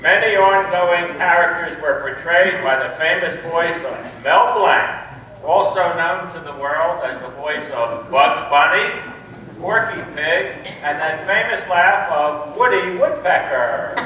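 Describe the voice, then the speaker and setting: A man's voice: fairly high pitch, animated, takes it slowly, pushed and bright. A man reading scripted narration as the narrator of a stage show. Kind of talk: scripted narration about old radio. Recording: echoey hall from far off, faint noise.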